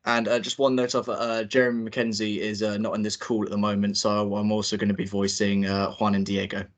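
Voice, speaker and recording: A man's voice: on the low side, level tone, a little fast. A young man informally directing a table read and narrating stage directions, a little hesitant. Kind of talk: informal hosting and script narration.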